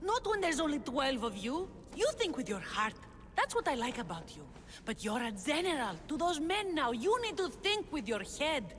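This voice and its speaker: An actress playing Kira, a fierce, sharp-tongued rebel leader in a game cutscene. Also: female voice, high-pitched, big pitch swings, moderate pace, slight background noise. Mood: commanding. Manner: sharp, teasing, commanding. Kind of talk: video game cutscene acting.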